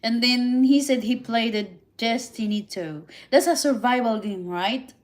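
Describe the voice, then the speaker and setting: A woman with a Filipino accent, whose first languages are Visayan and Tagalog, chatting casually on a live stream and answering viewer comments in halting English with frequent restarts. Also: on the high side. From Philippines. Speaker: livestream host.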